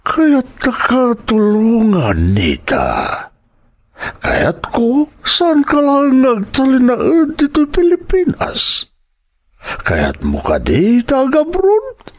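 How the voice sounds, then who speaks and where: A man's voice: very high voice, huge pitch swings, drawn-out words, exaggerated voice. A man playing a fatherly older boss in an audio drama, encouraging and coaxing, with a Filipino accent. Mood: persuasive. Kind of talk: Ilocano audio drama acting.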